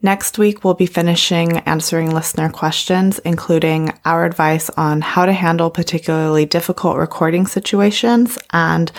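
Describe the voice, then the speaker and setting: Female podcast host, low voice, composed scripted delivery. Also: steady tone, moderate pace, few pauses. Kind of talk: scripted outro.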